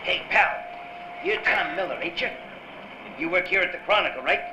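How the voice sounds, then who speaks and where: Big 1940s radio henchman, played by a man: menacing tough guy, swinging pitch, noisy recording. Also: expressive, normal speed. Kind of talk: 1940s radio drama acting. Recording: roomy, dull, noisy live stage recording.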